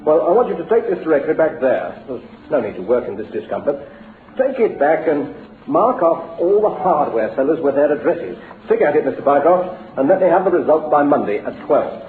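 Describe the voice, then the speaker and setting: Patronising, falsely jovial businessman for vintage radio drama, highly varied delivery, phone-like sound. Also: very animated, a little fast. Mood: brisk, coaxing. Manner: hearty, patronising, chuckling. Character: glib, jovial businessman hiding a swindle, falsely encouraging. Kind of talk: old-time radio drama acting. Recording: lo-fi narrow-band radio recording, faint noise, roomy.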